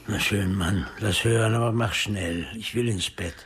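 A man acting in a radio drama as a blunt, weary friend, husky and rough in voice, with curt colloquial speech. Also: fairly low pitch, normal speed, close to an everyday voice. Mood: weary, impatient. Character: gruff, blunt male friend, weary, scolding but caring.

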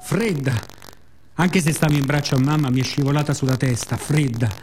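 A man delivers a scripted radio theatre monologue as a storyteller, in a measured, reflective, dramatic way with pauses. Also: on the high side, music in the background.

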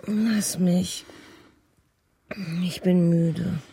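A woman acting in a German radio drama as a weary, suspicious wife in a creaky, half-whispered voice. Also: lowish voice, fairly even intonation, drawn-out words, warm tone, slight background noise, heavily acted. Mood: sleepy, suspicious.

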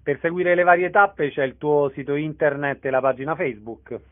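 A male interviewer with a fairly high voice puts brief questions to a guest. The sound is dry and tinny, squeezed as if through a phone or radio. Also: steady tone, normal speed, no echo.

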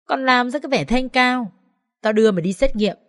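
A woman's voice: fairly high pitch, fairly quick, voice pushed up, close to an everyday voice. A woman reading a story aloud, voicing a harsh, abusive middle-aged mother-in-law who speaks crudely and shrilly. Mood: contemptuous.

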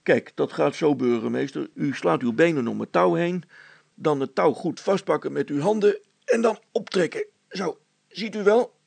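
Man acting a brusque, gravelly, impatient handyman. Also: on the high side, moderate pace, darkish tone, dry recording, voice pushed up, heavily acted. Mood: urging, instructing. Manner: blunt, exasperated, emphatic. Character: brusque, practical, impatient working man and father. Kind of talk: acted children's story.

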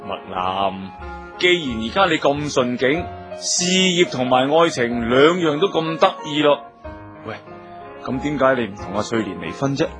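A man acting an anxious, placating, self-interested gentleman in a radio drama, speaking persuasively. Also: mid-range voice, animated, measured pace, music in the background, lightly acted. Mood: persuasive, probing. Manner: persuasive, coaxing.

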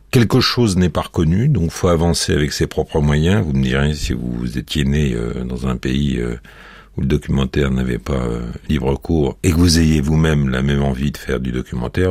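A man hosts a radio interview in an extremely low, rumbling voice. He talks in a playful, wandering way and likes to challenge his guest. The sound is close and dry, with no room around it. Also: a little mellow, dry recording. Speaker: radio host.

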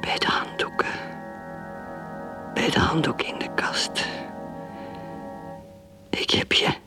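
An actress playing a bitter, wistful married woman who secretly craves drink, in an intimate, halting radio drama monologue. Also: close to an everyday voice. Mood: furtive craving. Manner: whispered, breathy, halting. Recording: music under the voice.

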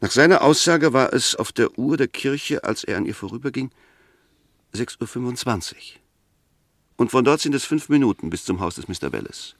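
An actor playing a methodical, argumentative defence advocate in a 1960s radio drama, deliberate and emphatic. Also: male voice, mid-range voice, expressive, dry recording, studio quality. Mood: insistent, reasoning. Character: argumentative, methodical male defence advocate dissecting a murder case.

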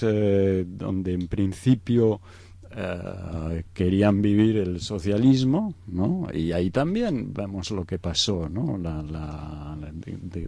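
A man's voice: fairly low pitch, creaky, mellow, dry recording. A middle-aged man from Egypt explaining things in an unscripted interview, hesitant, searching for words and often adding tag questions. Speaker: interview guest.

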